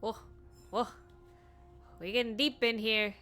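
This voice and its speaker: Bright-voiced woman streaming a game. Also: on the high side, fairly even intonation, slow pace, faint music behind. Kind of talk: casual playthrough reactions.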